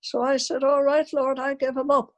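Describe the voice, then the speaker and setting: High-voiced elderly grandmother telling stories. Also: flat delivery, normal speed. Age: older, elderly grandmother. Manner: anecdotal, acts out remembered dialogue. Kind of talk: unscripted personal storytelling to family.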